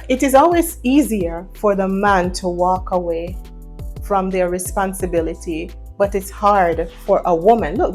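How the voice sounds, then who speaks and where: A Jamaican woman vlogging from her home, talking earnestly to camera in a preachy, emphatic way and repeating phrases for stress. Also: mid-range voice.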